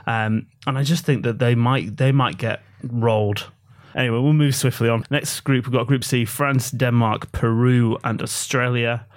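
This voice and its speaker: A man's voice: mid-range voice, fairly even intonation, moderate pace, hardly any pauses. A man hosting a sports betting podcast, leading a casual chat in a joking way and handing the talk over to his guests. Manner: jokey, leads the talk and hands over to guests. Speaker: podcast host.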